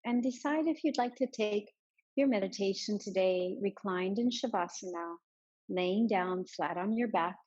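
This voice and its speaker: A woman guides a meditation at a very unhurried pace, leaving space between short, soft instructions. Her voice sits at a medium pitch with a husky edge. The recording is somewhat dull and lo-fi. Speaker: yoga and meditation teacher.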